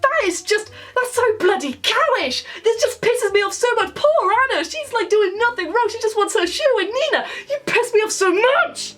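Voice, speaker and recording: A British woman giving chatty, comedic video game commentary in an expressive, playfully exasperated and mildly sweary way. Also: very high voice, moderate pace, few pauses. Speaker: video game reviewer and channel host. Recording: music behind the voice. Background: background music.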